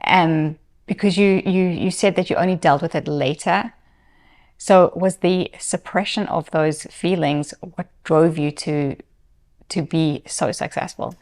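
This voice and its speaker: A woman hosting an interview, her voice pitched somewhat low. She asks searching questions, feeling her way through them with restarts and fillers. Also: unhurried, a little mellow. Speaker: podcast host.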